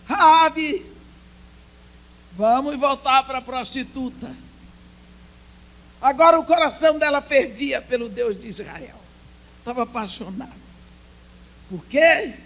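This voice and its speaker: A woman's voice: high-pitched, slow pace, pauses often. Impassioned woman preaching a sermon. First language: English. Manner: impassioned, swinging between loud proclaiming and hushed storytelling. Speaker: women's conference speaker. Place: church.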